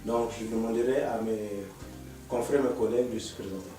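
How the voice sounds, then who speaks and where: A man hosting a studio panel talk on immigration, asking questions in a measured way with hesitant fillers. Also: lowish voice, fairly even intonation, music in the background. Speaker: host, immigration consultant. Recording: echoey room, mic far off.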